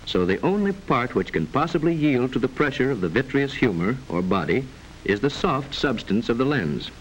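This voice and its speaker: A man narrating a mid-century educational film in a formal, measured, didactic way with precise enunciation, on an old film soundtrack. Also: medium pitch, very animated, slight background noise, rough recording.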